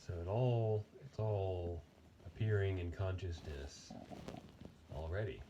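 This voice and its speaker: A man leading an unscripted guided body meditation in a gentle, unhurried manner with long pauses. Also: low-pitched, dull audio, background noise.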